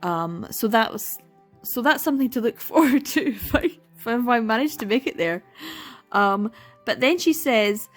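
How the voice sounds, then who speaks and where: A woman's voice: a little high, lively intonation, moderate pace, faint music behind. A young woman in a casual reading vlog, talking through a manga with exasperated sighs, laughs and dramatic repetition. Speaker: book vlogger.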